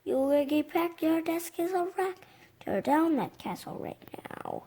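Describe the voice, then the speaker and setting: A six-year-old child voicing a stern, dramatic woman schoolteacher from a storybook, speaking haltingly. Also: very high voice, expressive, mellow, pitched above the performer's own voice, exaggerated voice. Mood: stern, scolding. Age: young child, six.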